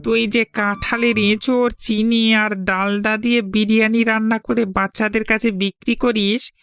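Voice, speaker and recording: A woman's voice: fairly high pitch, little pitch movement, normal speed, a bit bright, smooth, dry recording, soft background music. A woman voicing a cheeky, scheming male food thief who needles and insists, in a cartoon folk tale. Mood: threatening.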